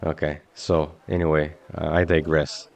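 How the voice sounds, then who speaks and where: A man's voice: rumbling low, little pitch movement, normal speed, highs cut off. A man from Bulgaria with a Bulgarian accent, chatting casually while streaming a game. He rambles in a hesitant way, full of fillers.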